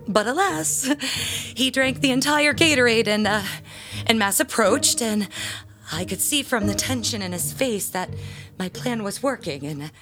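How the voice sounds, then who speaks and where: A woman playing a proud, jealous parishioner and bell ringer in an audio drama, speaking in a pompous, theatrical, halting way. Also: fairly high pitch. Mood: defensive, sheepish.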